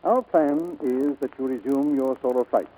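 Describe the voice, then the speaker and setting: A man playing a commanding, formal US Navy admiral in a 1940s radio drama, speaking gravely and deliberately. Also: mid-range voice, mellow, a little hiss or hum, close to an everyday voice. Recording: muffled vintage 1940s radio recording.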